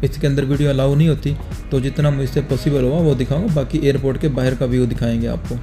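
A young man from Pakistan narrating a casual travel vlog at night outside an airport, talking calmly to viewers through a phone held at arm's length. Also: medium pitch, fast talker, music in the background. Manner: calm, explanatory, talks to viewers directly. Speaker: travel vlogger. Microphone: phone camera held at arm's length.

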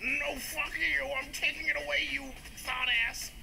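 A woman's voice: high-pitched, fairly even intonation, normal speed, vocal fry, over music. A woman voicing a blustering, melodramatic male villain in an improvised comedy game fandub. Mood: menacing. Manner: hammy, sweary. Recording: very noisy screen-shared video playback.

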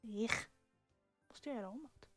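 A woman's voice: a little high, loud music behind the voice. A woman, an amateur voice actor chatting and joking casually between takes.

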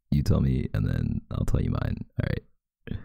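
A young man doing an intimate ASMR boyfriend roleplay as a sleepy, affectionate, teasing partner in bed, murmuring softly and drowsily close to the microphone. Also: a bass voice, no room sound. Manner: soft, drowsy murmuring, partly breathy and whispered. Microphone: close microphone, dry sound.

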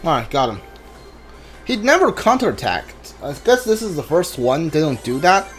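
A man with a fairly high voice streams a video game playthrough. He talks casually and haltingly, thinking aloud and reading screen text as he goes. Game music plays behind him. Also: very animated.

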